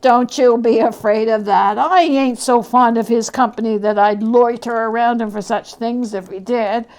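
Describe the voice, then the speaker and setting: A reader as a brash, high-pitched scavenger woman. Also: steady tone, moderate pace, darkish tone, close to an everyday voice. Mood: scornful, callous. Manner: brash, scornful, colloquial. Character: brazen, callous scavenging woman selling a dead man's things.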